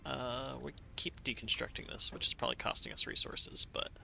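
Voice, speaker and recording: A man's voice: mid-range voice, steady tone, a little fast, creaky, sharp tone, a little hiss or hum. A man streaming a factory-building game live, thinking aloud with playful asides to himself. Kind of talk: live gameplay commentary.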